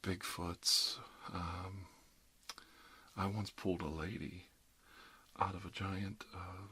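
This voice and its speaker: A man's voice: sits low, level tone, slow, sharp tone, slightly rough. A middle-aged man doing an ASMR roleplay as a dentist, chatting casually in a rambling, jokey, one-sided conversation peppered with "you know". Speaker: ASMR performer playing an emergency dentist.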